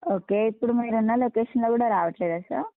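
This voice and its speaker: A woman's voice: on the high side, quick pace, dry recording. A woman working as a customer care agent, speaking politely in support phrases, apologetic and reassuring. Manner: apologetic, reassuring, deferential with frequent "sir". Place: on a phone line. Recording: tinny, narrow phone call recording.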